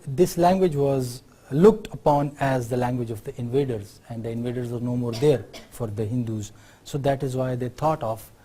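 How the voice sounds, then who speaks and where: A middle-aged man with a Pakistani accent giving an unscripted university lecture, often pausing on fillers and checking in with "right?". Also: medium pitch, dark tone. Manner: unscripted explaining, many fillers, tag questions like "right?".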